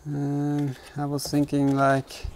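A man in his thirties giving casual, halting hands-on commentary as a vlog host, thinking aloud while he works. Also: a little high, monotone, background noise. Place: inside a car in a workshop.

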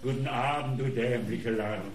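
A man's voice: mid-range voice, fairly even intonation, normal speed, gravelly, natural voice. An actor playing a wry male house guest in a German radio murder mystery, with a teasing way of talking. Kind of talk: German radio crime drama acting.

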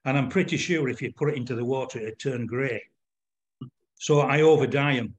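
Older male craft demonstrator, Yorkshire accent. Informal, explanatory, hesitant delivery over a video call, middle pitch. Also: normal speed. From Yorkshire, England. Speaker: fly-tying demonstrator. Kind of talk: informal demonstration with questions and answers.

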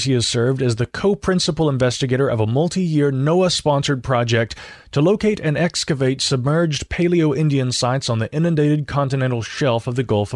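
A male host reads a formal introduction at a measured pace. His voice sits at a middle pitch, and the sound is close and dry. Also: dry recording. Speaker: podcast host.

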